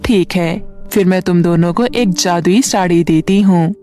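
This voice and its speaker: A woman voicing a grateful, generous fairy with a gentle, gracious manner in a storybook tale. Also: fairly low pitch, unhurried, natural voice. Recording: music bed under the voice.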